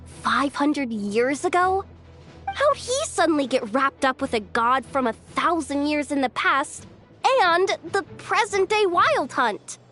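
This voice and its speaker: A woman voicing a youthful, candid adventurer in a video game, informal, excitable and exclamatory. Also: high voice, heavily acted.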